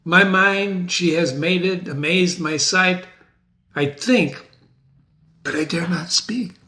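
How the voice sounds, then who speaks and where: Man as a slow, solemn, troubled physician. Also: sits high, pushed and bright, voice pushed up, full character voice. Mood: grave, troubled. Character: troubled, grave male physician, pious and fearful. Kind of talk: dramatic reading of a stage tragedy.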